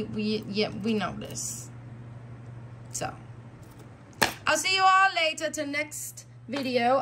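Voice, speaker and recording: American woman, somewhat high voice, worn-out, casual vlog chat, emphatic repetition, slangy and blunt, noticeably noisy recording. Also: sharp tone. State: voice worn out, losing it. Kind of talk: casual chat to viewers, product review.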